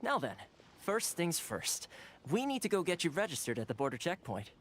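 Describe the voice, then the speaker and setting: A man's voice: a little high, wildly swooping pitch, normal speed, a bit bright, slight background noise. A man voicing a friendly, easygoing young trader in a video game, playful and reassuring. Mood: matter-of-fact. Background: game music.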